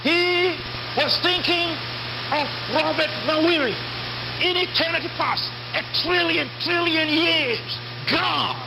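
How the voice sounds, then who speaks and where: A man's voice: very high-pitched, takes it slowly, vocal fry. A man preaching an impassioned sermon in emphatic, repetitive short phrases, heard on a shortwave radio broadcast. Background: loud static and hum.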